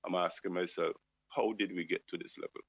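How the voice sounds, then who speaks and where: A man's voice: low voice, fairly even intonation, fairly quick, vocal fry, slightly rough, no echo. A man on a talk show, speaking with passion and firing off rhetorical questions. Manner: impassioned, asks rhetorical questions. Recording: dull lo-fi dry sound.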